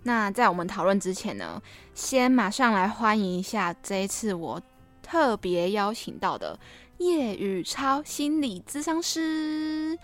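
A woman's voice: medium pitch, sing-song, measured pace, soft background music. A woman with a Taiwanese Mandarin accent hosting a conversational podcast interview. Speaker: podcast host.

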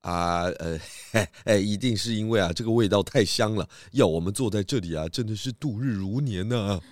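A man's voice: on the low side, a little fast, no room sound, studio quality, lightly acted. A man playing a good-natured pig detective in a children's audio drama, polite and exclamatory, with hesitant little filler sounds. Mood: polite, eager.

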